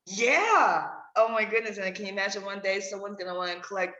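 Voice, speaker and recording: Female interviewer for a talk podcast, affirming and conversational, mid-pitched, in a reverberant room. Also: brisk, sharp tone. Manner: affirming, echoes and agrees with guest. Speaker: podcast host interviewing a guest. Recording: dull audio in echoey room.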